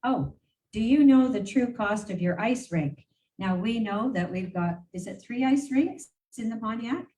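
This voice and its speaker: A woman's voice: a little high, animated, measured pace, warm tone. Chatty woman heard from across a room. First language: English. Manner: rambling, chatty, many fillers and restarts. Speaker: regional council warden reporting to mayors. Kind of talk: meeting report, casual and unscripted. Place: council meeting room. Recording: distant voice, faint room noise.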